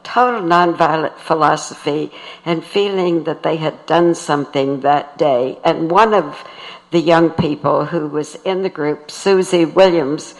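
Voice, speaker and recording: Slow-talking, reflective activist giving a tribute. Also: mid-range voice, poor audio. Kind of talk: personal reminiscence at a tribute event.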